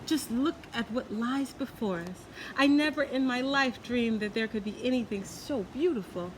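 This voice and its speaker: A kind young storybook heroine, voiced by a woman reader, speaks tenderly and with wonder. Her manner is gentle. The recording has clear background noise. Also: on the high side, normal speed, natural voice, low-quality recording. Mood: awed wonder. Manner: tender, marvelling. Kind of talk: storybook character voice.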